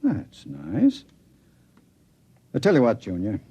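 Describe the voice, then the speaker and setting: An actor playing a sly, falsely friendly man, husky and patronizing, in a 1950s radio drama. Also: fairly low pitch, huge pitch swings, slow pace, warm tone, full character voice. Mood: coaxing, sly. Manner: coaxing, patronizing. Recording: dull old radio broadcast.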